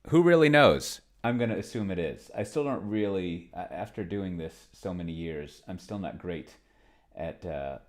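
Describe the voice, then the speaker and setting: Male, extremely low voice. Casual livestream host, rambling and self-deprecating. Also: expressive, normal speed. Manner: offhand, self-deprecating, trails off and corrects himself mid-thought. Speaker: livestreamer demonstrating solo tabletop roleplaying with an AI.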